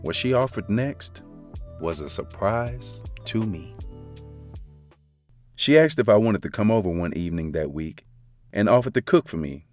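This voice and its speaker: A man's voice: on the low side, expressive, measured pace, pauses often, a little mellow. A man narrating a first-person romance story in a casual, confiding, slangy way. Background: faint background music.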